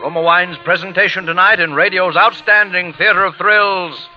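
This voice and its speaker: A man's voice: high-pitched, fairly even intonation, normal speed. An American man giving dramatic scripted announcements as a 1940s radio show announcer. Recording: dull old radio recording with music. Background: music.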